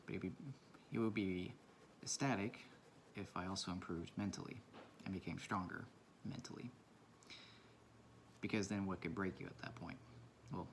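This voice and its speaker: A man with a low voice talking quietly in a casual, rambling and reflective way while he draws for his vlog. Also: slight background noise. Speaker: vlogger. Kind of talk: casual talk while drawing. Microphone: camera mic from a propped camera.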